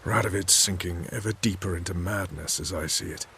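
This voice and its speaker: An actor playing a world-weary military commander in a fantasy game, speaking in a measured, confiding way. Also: male voice, sits low, fairly even intonation, gravelly, sharp tone, a little hiss or hum, full character voice. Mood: grave, confiding. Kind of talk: scripted fantasy game acting.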